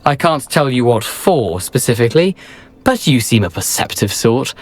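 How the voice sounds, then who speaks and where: A man's voice: mid-range voice, sing-song, moderate pace, natural voice. A man voicing a wry, self-deprecating ghost of a rakish adventurer in a video game, with an eloquent, understated delivery. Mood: droll, rueful. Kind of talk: video game character dialogue.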